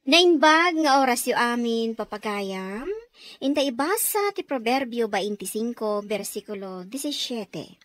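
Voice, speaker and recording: Female drama-programme announcer, scripted intro read, higher register. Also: no room sound.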